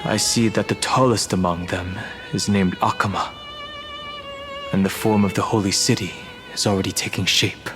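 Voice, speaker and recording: Casting: a man voicing a composed young game hero, low and steady, score behind. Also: close to an everyday voice. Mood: calm. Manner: measured, composed, matter-of-fact. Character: calm, reserved young man, steady and serious. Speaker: game character. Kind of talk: game cutscene voice acting. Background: orchestral music.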